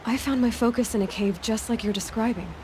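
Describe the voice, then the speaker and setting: A woman's voice: a little high, brisk, dark tone, lightly acted. An actress playing a blunt, inquisitive young outcast woman in a video game, speaking directly and probingly. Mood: suspicious. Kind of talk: acted video game dialogue. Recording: clearly noisy, ambient background.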